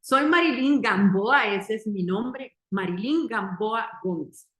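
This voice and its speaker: Costa rican lady, 40s, tica accent, earnest testimonial talk, slow emphatic bits, echoey room. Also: medium pitch, expressive, fairly bright tone. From Costa Rica. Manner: earnest, deliberate, speaks in short emphatic phrases. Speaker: marketing entrepreneur giving a testimonial. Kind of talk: success-story testimonial speech. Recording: roomy echo.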